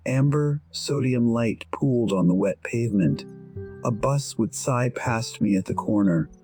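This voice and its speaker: A man playing a wistful musician in his sixties, telling his own story in a reflective, dryly wry, measured way over music. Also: mid-range voice, natural voice. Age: 60s. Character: wistful 62-year-old male musician narrating his life. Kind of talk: scripted first-person storytelling. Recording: soft music behind the voice. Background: instrumental music.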